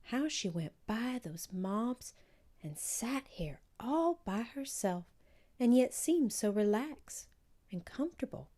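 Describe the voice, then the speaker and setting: A woman voicing a caring, thoughtful schoolteacher in a storybook, in a soft, measured, wondering manner. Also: on the high side, animated, pitched above the performer's own voice. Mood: hushed wonder. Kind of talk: children's storybook read-aloud.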